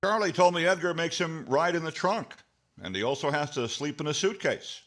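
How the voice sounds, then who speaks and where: A man's voice: on the high side, fairly quick, a bit bright, close to an everyday voice. An older man playing an affable announcer and straight man in a 1940s radio comedy. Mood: matter-of-fact.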